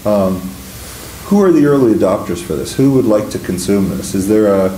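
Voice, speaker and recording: A man's voice: on the low side, lively intonation, moderate pace, mellow, slight background noise. A man presenting at a government conference, answering audience questions with many fillers and restarts. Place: conference room. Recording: echoey room.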